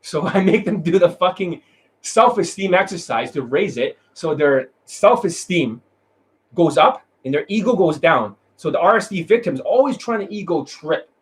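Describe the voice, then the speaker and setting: A man lecturing as a dating coach in a blunt, profane rant, swinging between loud outbursts and low muttering. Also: animated, normal speed, husky. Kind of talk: ranting monologue lecture.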